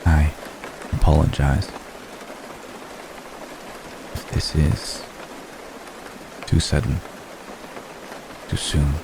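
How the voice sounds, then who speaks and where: A man playing a centuries-old, lovestruck vampire gentleman in an ASMR roleplay, speaking formally and haltingly, apologetic and tender. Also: a bass voice, vocal fry, full character voice. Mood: tender, vulnerable confession. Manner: halting, formal, soft-spoken, deliberate phrasing. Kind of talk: ASMR roleplay, intimate dramatic monologue. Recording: steady rain noise behind the voice. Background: rain.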